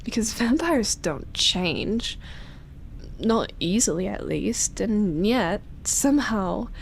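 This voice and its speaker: A woman playing a seductive, tender yet obsessive immortal vampire in an intimate ASMR roleplay, breathy and teasing, slipping into whispers. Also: medium pitch, sing-song, takes it slowly, a little hiss or hum, exaggerated voice. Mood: wistful confession. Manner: breathy teasing, soft sighs and chuckles, whispered phrases.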